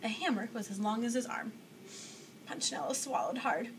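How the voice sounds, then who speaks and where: A young woman reads a children's story aloud. Her voice sits on the high side. She is recorded in a small room by a camera a little way off, with mild background noise. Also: moderate pace. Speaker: storybook reader. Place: small indoor room with block walls.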